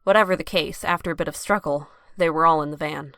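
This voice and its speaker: A woman serves as narrator of an audio drama, reading scripted prose. Her voice sits a little low, recorded dry and close. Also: fast, fairly bright tone, clean tone, dry recording.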